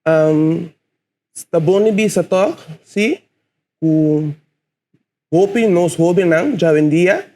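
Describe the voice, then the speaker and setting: A man's voice: high-pitched, takes it slowly, pauses often, darkish tone. A man in his twenties, a poet guest on a radio show, answering hesitantly and searching for words. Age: 20s. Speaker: spoken word poet guest. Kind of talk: casual interview answers.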